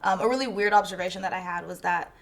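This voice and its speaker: A woman's voice: medium pitch, quick pace, gravelly. A woman chatting as a panelist in a casual film review, conversational and often acting out her own reactions.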